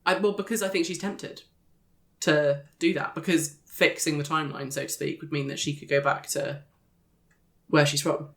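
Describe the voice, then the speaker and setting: Player, mid-pitch, casual, chatty explaining. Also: fairly even intonation, moderate pace, a bit bright. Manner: casual, explaining with fillers and restarts.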